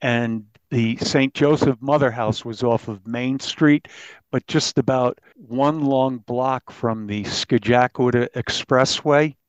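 A man, a newspaper reporter, explaining the details of a case in an interview in a halting, detailed way. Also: medium pitch, steady tone, clean tone, no room sound, low-quality recording.

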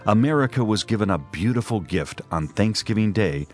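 A man's voice: on the low side, lively intonation, normal speed. A man reading a scripted religious promotional announcement. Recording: faint music bed under voice.

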